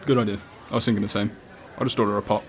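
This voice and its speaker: A man's voice: on the low side, flat delivery, racing, close to an everyday voice. A man with a British accent playing a casual but persistent police detective in a radio play, questioning politely and insistently. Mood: breezy, probing. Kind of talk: student radio drama acting. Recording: cafe crowd chatter behind.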